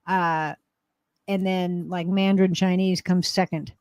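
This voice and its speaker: A woman's voice: lowish voice, level tone, measured pace, a little mellow, dry recording. A middle-aged woman, chatting casually on a live panel. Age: 50s or older.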